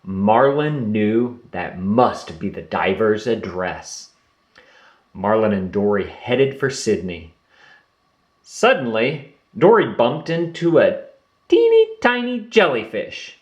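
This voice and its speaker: A man reading a children's storybook aloud in an expressive storytelling manner. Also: fairly low pitch, takes it slowly, dull audio. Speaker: storybook reader. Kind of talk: children's read-aloud narration. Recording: roomy echo.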